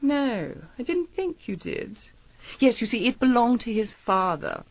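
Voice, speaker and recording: A woman's voice: on the high side, very animated, a little slow, no echo, highs cut off, exaggerated voice. An actress playing a shrewd, playful lady detective in a radio mystery, politely probing with mock innocence. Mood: probing, teasing. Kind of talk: radio mystery drama acting.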